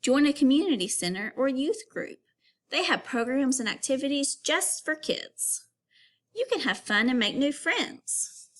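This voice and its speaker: A woman teacher reading an informational text aloud to young children, pausing to give instructions and put questions to them. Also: high-pitched, big pitch swings, a bit bright.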